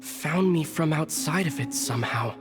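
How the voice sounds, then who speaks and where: A man voicing a weary, solemn young traveler hero in an anime game, subdued and halting, with echoing reverb. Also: on the high side, lively intonation, music in the background, lightly acted. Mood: dazed, somber. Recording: long hall-like reverb.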